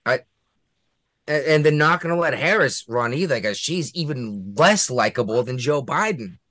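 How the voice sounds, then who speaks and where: A man's voice: mid-range voice, animated, moderate pace, fairly bright tone, no room sound, studio quality. A man co-hosting a casual political chat show, crude and joking, laughing at his own jokes. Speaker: podcast co-host.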